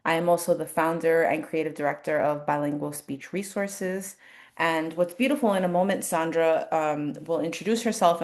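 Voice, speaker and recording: Low-voiced woman presenting a webinar. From New York City. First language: Spanish. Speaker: speech language pathologist presenter.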